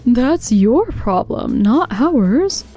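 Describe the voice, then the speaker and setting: Strict office bureaucrat for manga dub, woman actor: soft-edged, fairly high voice, curt and officious. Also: very animated, extremely slow, soft background music, heavily acted. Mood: dismissive. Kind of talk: anime-style manga dub acting.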